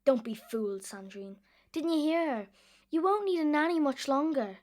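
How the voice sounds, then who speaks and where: A woman's voice: high voice, animated, moderate pace, a little mellow. A woman acting a stubborn, sulky young schoolgirl in a children's audio drama.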